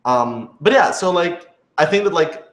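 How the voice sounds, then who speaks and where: A man's voice: on the high side, lively intonation, measured pace, fairly bright tone. A man chatting casually as a writer and producer answering questions, rambling and leaning heavily on filler words. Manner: rambling, heavy use of "like". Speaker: writer and producer of an audio drama. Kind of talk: casual Q&A chat. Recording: roomy, echoing indoor sound.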